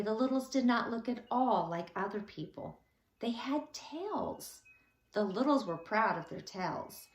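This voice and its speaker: A woman teacher reading a children's story aloud in an animated, deliberate storytelling manner. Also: on the high side, echoey room, low-quality recording.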